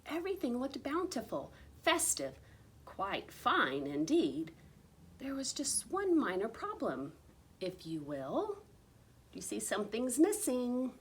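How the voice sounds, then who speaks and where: Female storybook reader, unhurried and highly varied in pitch, with theatrical emphasis. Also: huge pitch swings, breathy edge. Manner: theatrical, drawn-out emphasis on repeated words. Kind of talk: expressive picture-book read-aloud.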